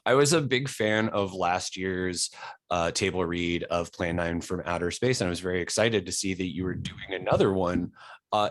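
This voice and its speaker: A man with a low-pitched voice works as an interviewer. He puts his questions casually, with a polite, appreciative manner. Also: dry recording.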